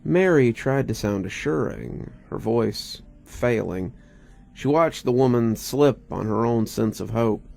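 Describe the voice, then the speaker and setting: Male narrator, dramatic scripted storytelling, unhurried, creaky voice, music bed. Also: mid-range voice, lively intonation, a little mellow.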